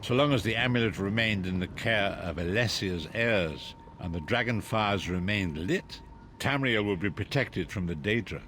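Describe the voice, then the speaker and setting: A man playing a grave, wise sage in a fantasy game, narrating in a solemn, measured, portentous way. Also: on the low side, a bit bright, slight background noise, lightly acted. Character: grave, wise male sage, freed former prisoner.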